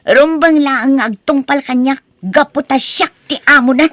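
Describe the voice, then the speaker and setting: A woman's voice: sits high, unhurried, music in the background. A woman with an Ilocano Filipino accent playing a stern, nagging wife in an audio drama, scolding and emphatic. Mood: angry, accusing. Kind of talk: radio-style audio drama acting.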